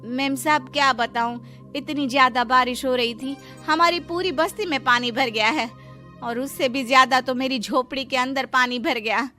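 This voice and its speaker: A woman's voice: high voice, steady tone, moderate pace, bright, forceful tone, soft background music, voice pushed up. A woman playing a humble, pleading poor maidservant wife in a dramatized story, speaking deferentially. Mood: apologetic.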